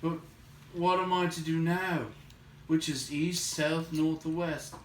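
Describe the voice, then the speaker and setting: A man's voice: a little high, fairly even intonation, slow, voice pushed up. A man voicing a small, timid hobbit burglar in a storybook, anxious, plaintive and full of self-doubt. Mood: miserable, lost. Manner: plaintive, crying out when frightened. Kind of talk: children's fantasy storybook read aloud. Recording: roomy, distant microphone, light noise.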